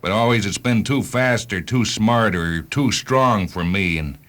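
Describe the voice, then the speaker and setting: A man acting a driven, vengeful hunter in a radio drama, with an intense, emphatic and sometimes halting delivery. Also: lowish voice, fairly bright tone. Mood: bitter, brooding. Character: driven, vengeful male hunter of rogue cars.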